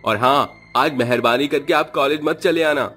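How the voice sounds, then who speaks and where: A man's voice: medium pitch, normal speed, sharp tone, husky. A man voicing a surly young son in a cartoon story, scolding and dismissive. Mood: curt. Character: surly, ashamed young son of poor mother. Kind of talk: cartoon voice acting. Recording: music under the voice.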